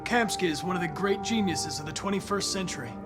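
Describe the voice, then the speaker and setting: A man's voice: sits high, lively intonation, fast talker. A young man with an American accent playing a polite, earnest android investigator in a video game, precise and formal. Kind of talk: cinematic video game acting. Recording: music under the voice.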